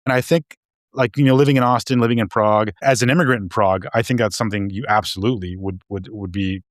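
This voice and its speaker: Man, low voice, hesitant, restarts sentences, dry close sound. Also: steady tone, fast, no echo. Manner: hesitant, restarts and repeats words often. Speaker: podcast co-host. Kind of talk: casual discussion.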